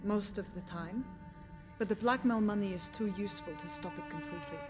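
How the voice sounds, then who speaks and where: An actress playing a composed, defiant nurse in a video game, speaking in a measured, frank, dryly pointed way. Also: female voice, medium pitch, natural voice. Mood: frank, guarded. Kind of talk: video game dialogue acting. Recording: music behind the voice.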